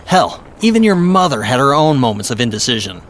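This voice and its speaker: A man's voice: mid-range voice, sing-song, brisk, fairly bright tone, slight background noise. An actor playing a talkative, upbeat middle-aged father who is a lawyer, in an audio drama. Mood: encouraging. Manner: chatty, upbeat, soothing.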